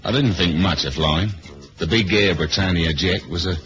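A male actor playing a laconic, womanizing British spy in a 1970s radio drama, deadpan and casual with a British accent. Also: deep voice, moderate pace, faint music behind. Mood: wry. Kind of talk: 1970s radio drama, first-person spy narration and dialogue. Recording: dull lo-fi old radio recording, hiss.

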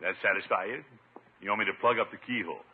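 An actor playing a blustery, self-important middle-aged commissioner with a pompous, exclamatory manner in a 1950s radio comedy. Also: male voice, fairly low pitch, fast talker, phone-quality sound, natural voice. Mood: indignant. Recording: thin, band-limited 1950s radio, faint noise.

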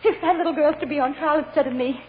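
Woman acting high-pitched anguished mother, old radio. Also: quick pace, natural voice. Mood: pleading anguish. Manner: emotional, imploring, breaking into sobs. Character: anguished, pleading mother on trial, desperately devoted. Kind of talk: 1940s radio melodrama acting. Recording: muffled old radio recording, reverberant, hissy.

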